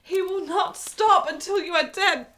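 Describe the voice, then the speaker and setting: An actress plays a frail, ailing woman desperately in love in a period radio play. Her voice is high and she speaks very slowly. She is hesitant and emotional, insecure yet devoted. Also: sharp tone, heavily acted. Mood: joyful, anxious. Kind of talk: period radio drama dialogue.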